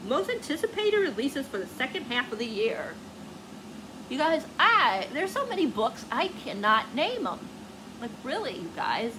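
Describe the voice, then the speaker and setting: Chatty lady doing a book vlog, kinda high voice, gushy and rambly, bit of background noise. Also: sing-song, normal speed. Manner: rambling, gushing, often trails off searching for words. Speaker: book vlogger. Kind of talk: casual chatty vlog to viewers.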